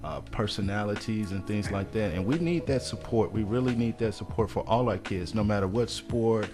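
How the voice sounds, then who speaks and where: A man with a lowish voice talks on a community radio show, pressing parents hard to show up for their children. He speaks in earnest, pleading tones, with music playing behind him. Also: moderate pace. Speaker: radio guest, community mentor and counselor. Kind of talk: radio interview talk.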